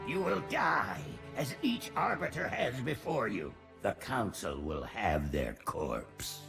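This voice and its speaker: An actor playing a haughty, cunning old alien prophet in a video game, with a creaky, theatrical, formal delivery. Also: male voice, mid-range voice, very animated, takes it slowly, a bit bright, exaggerated voice. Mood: ominous, stern. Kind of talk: dramatic video game acting. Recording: faint music behind voice.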